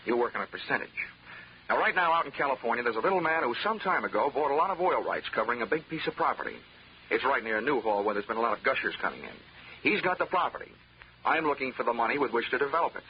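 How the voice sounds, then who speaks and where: An actor playing a wisecracking insurance investigator in a 1940s radio drama, narrating and talking with a dry, wry manner. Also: male voice, mid-range voice, sing-song, fast talker, slight background noise, close to an everyday voice. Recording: muffled old radio broadcast with music.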